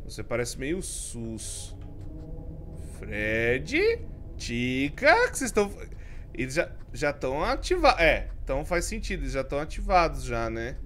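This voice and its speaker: High, lively Brazilian man gaming. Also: measured pace, a little hiss or hum. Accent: Brazilian Portuguese. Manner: jumpy, swings from mumbling to yelling. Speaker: gaming streamer. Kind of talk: let's play commentary. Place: home streaming room with colored lights.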